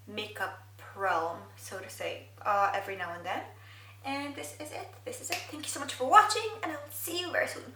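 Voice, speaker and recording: Bright-voiced woman vlogger, Arabic native speaker. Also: on the high side, echoey room, distant mic, a little hiss or hum. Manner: thoughtful, wordy, many fillers. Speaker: beauty vlogger. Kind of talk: get-ready-with-me makeup chat. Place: bedroom, indoors.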